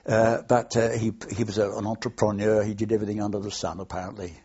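A man's voice: fairly low pitch, little pitch movement, fast talker, darkish tone, husky, lo-fi sound. An older man from London, reminiscing at length in an interview, rambling with many hesitations. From London, England. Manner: rambling, anecdotal, frequent hesitations. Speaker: oral history interviewee. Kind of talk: reminiscing, unscripted.

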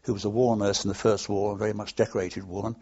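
Husky older Englishman reminiscing on tape. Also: fairly low pitch, level tone, quick pace, mellow, lo-fi sound. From London, England. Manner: rambling, anecdotal, frequent hesitations. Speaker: oral history interviewee. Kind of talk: reminiscing, unscripted.

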